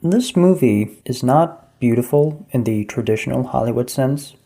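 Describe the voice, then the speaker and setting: Guy on a podcast doing a quick opinionated review, warm mellow voice. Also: mid-range voice, animated, vocal fry. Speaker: podcast co-host, film reviewer. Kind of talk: casual podcast discussion.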